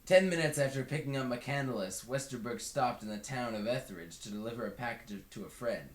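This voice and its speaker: A man's voice: mid-range voice, fairly quick. A man narrating a book aloud as a scripted read-along. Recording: roomy, echoing home recording.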